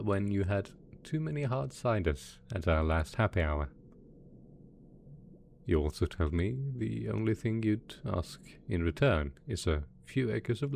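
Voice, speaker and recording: Man acting a low-voiced, doting supervillain boss. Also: vocal fry, darkish tone, a little hiss or hum. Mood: amused, fond. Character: doting, possessive male mad scientist supervillain boss. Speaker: voice actor in audio roleplay. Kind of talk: ASMR roleplay acting.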